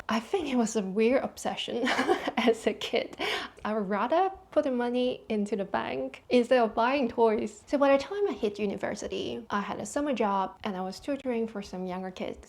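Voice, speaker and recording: A woman's voice: a little high, a little mellow. A woman in her thirties from Hong Kong with a Hong Kong accent, a personal finance vlogger chatting casually to camera and laughing lightly between points. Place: London.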